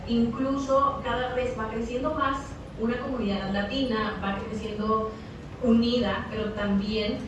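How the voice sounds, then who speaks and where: A woman's voice: a little high, steady tone, measured pace. Mexican woman, distant and echoey. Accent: Mexican Spanish. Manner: hesitant, repeats words, thinks aloud. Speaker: radio announcer and guest speaker. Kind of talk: interview over a video call. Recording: echoey, distant, noisy video call audio.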